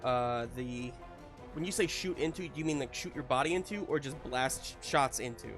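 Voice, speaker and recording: A man's voice: medium pitch, a little fast, music in the background. A man running a tabletop roleplaying game, narrating casually and checking in with his players in an encouraging way.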